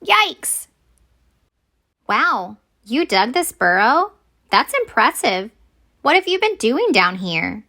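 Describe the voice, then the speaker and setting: A woman voicing an exasperated but eager young girl witch in a children's picture book, expressive and exclaiming. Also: a little high, slow, long pauses, exaggerated voice. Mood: surprised.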